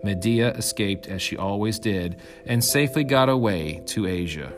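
A man's voice: fairly low pitch, moderate pace, over loud music. A man reading an ancient myth aloud as scripted storytelling narration.

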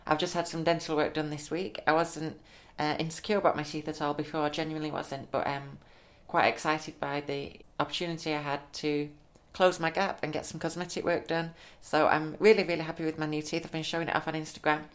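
A man's voice: a little high, flat delivery, brisk, few pauses. A man, co-hosting a podcast in casual, upbeat chat, with a slight lisp from new teeth. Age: 20s. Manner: chatty, upbeat, laughs easily. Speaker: podcast co-host.

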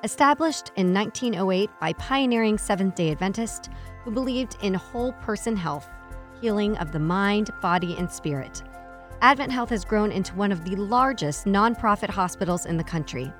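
A woman's voice: mid-range voice, expressive, normal speed, faint music behind. A woman hosting a chamber of commerce podcast, upbeat and polished, reading sponsor copy and interviewing a guest. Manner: upbeat, polished, with friendly affirmations. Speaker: podcast host.

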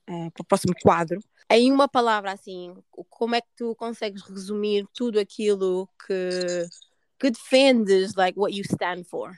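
A woman's voice: mid-range voice, normal speed, clear, resonant tone, no echo, low-quality recording. A woman host from Portugal with a European Portuguese accent, chatting informally and dropping in English words. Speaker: podcast host. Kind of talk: casual conversation.

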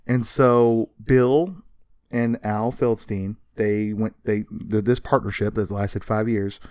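A man's voice: lowish voice, steady tone, takes it slowly, darkish tone, smooth, dry recording, studio quality. A man co-hosting a podcast, telling history in a casual, emphatic way with a sarcastic streak and some swearing. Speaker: podcast co-host. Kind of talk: casual storytelling about comics history.

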